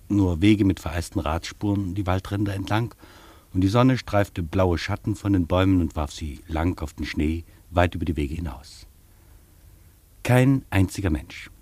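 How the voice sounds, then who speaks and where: An actor playing a reflective travel writer, recounting a journey in literary first-person radio narration. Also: male voice, sits low, darkish tone, dry recording.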